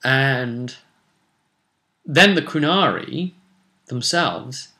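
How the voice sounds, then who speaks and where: A man's voice: medium pitch, lively intonation, drawn-out words, gravelly, pushed and bright. A man casually recapping a tabletop role-play session as game master, unscripted and conversational, wandering off into asides. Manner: rambling, conversational, with many asides.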